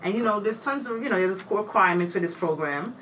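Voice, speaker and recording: A woman co-hosting a casual chat, reading out facts and figures in a matter-of-fact, steady way. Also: medium pitch, a little fast. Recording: distant mic with faint room ambience.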